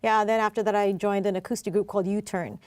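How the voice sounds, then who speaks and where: A woman with a medium-pitched voice talks casually as an interview guest, sharing personal stories in a chatty flow with light laughs here and there. The sound is dry, with very little room. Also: fairly even intonation, fast talker, darkish tone, dry recording. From Philippines and New Zealand. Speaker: interview guest, singer and worship director.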